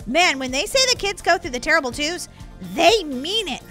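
A woman plays an excitable young big sister with an extremely high, girlish voice. She is chatty and dramatic, exclaiming in an exaggerated way as in a children's toy story, with prominent music behind her. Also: normal speed. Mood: weary, playful. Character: dramatic, excitable big sister girl Molly, kid's toy-play character.

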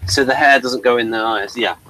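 A man's voice: medium pitch, brisk, a crowd in the background, poor audio. A man hosting a casual review chat, explaining things in a rambling way.

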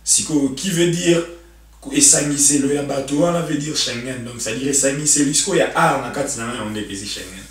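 A man's voice: mid-range voice, a little fast. A young man from Congo, a musician guest in a casual interview, talking animatedly with many hesitations, filmed on a sofa in a living-room set. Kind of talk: casual interview in French mixed with Lingala. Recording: echoey room, mic at a distance.

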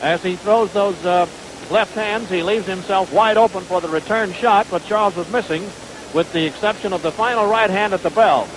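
A noisy vintage 1950s television recording carries a man's high-pitched voice. He is an American boxing commentator calling the fight live from ringside in the sportscasting style of that era. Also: normal speed. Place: ringside at an indoor stadium.

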